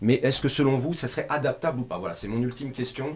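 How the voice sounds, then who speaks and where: A man with a mid-pitched voice interviews guests on a talk show. He keeps it conversational, slipping in questions and clarifications in a casual way. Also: fast talker, darkish tone, breathy edge.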